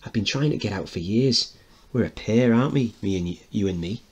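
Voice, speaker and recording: A man voicing a warm working-class mother who comforts a child with gentle, colloquial, hushing talk. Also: fairly low pitch, lively intonation, a little fast, slightly rough, highs cut off. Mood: soothing.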